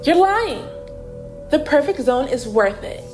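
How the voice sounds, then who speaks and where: A woman plays a yearning female traveller in a radio drama. Her voice is high and she speaks slowly, hesitant and full of wonder, with faint music underneath. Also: very animated, darkish tone. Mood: determined.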